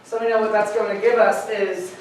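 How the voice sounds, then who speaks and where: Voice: mid-range voice, level tone, brisk, soft background music. A university chemistry lecturer giving a classroom lecture, chatty and prone to joking asides. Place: large lecture hall. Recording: echoey lecture hall from far off.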